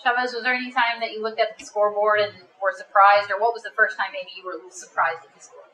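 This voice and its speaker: A woman's voice: mid-range voice, fairly even intonation, fast, bright, forceful tone, rough recording. A woman reporter asking players questions at a press conference in a casual, conversational way.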